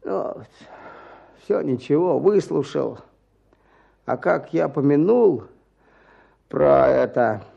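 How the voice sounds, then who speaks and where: An actor playing a weary, stubborn peasant petitioner back from prison, speaking plaintively in halting rustic phrases in a radio drama. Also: male voice, on the high side, lively intonation, creaky, dark tone, husky, muffled recording, exaggerated voice. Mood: bitter, weary. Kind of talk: Russian radio drama acting.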